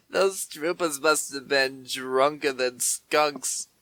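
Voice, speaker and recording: A man's voice: medium pitch, very slow, fairly bright tone, no room sound. A man playing a blunt Old West wagon driver with folksy, exclamatory talk in a comic dub. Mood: scoffing.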